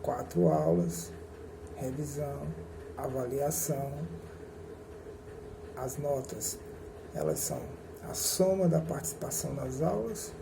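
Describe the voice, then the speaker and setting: A man giving a recorded history lecture, speaking slowly and deliberately with pauses and self-corrections. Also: mid-range voice, sing-song, gravelly. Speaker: history teacher. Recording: noisy recording with ambient hum.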